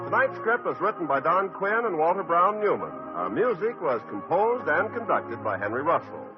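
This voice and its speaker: A man's voice: on the high side, very animated, normal speed, music in the background. Formal old-time radio announcer man. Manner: clear, formal, emphatic. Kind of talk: scripted announcing. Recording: dull lo-fi 1950 radio broadcast.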